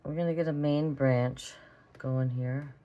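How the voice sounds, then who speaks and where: Raspy, low-voiced woman with a cold. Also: steady tone, measured pace, dark tone, highs cut off. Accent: Minnesotan. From Minnesota. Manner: chatty, rambling, talks while drawing. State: hoarse from a cold. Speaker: art vlogger. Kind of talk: relaxed drawing tutorial chat.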